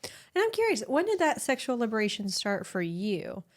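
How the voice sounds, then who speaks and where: A woman's voice: a little high, very animated, normal speed. A woman co-hosting a podcast, asking curious, encouraging questions in a casual way. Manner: curious, encouraging, says yeah a lot. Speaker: podcast co-host.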